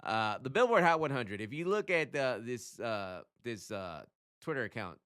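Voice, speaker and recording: A man hosting his own channel, talking casually and emphatically as he explains things, often throwing in rhetorical questions and quick check-ins. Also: mid-range voice, expressive, a bit bright, smooth. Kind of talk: casual explainer talk to camera.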